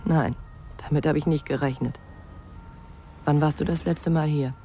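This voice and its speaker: A woman's voice: low voice, fairly even intonation, normal speed, mellow, a little hiss or hum. An actress playing a guarded, worried woman with a reserved, questioning manner in a German radio crime drama. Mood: dry, questioning. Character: calm, guarded woman worried for her nephew.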